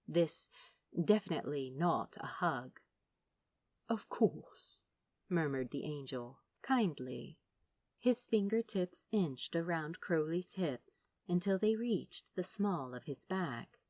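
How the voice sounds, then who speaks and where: A woman narrates a story in an emotive, dramatic audiobook style. Her voice sits fairly low but swings widely in pitch, and she takes her time over the lines. The sound is close and dry. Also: a little mellow, dry recording. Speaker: fan fiction narrator. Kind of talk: expressive scripted audiobook narration.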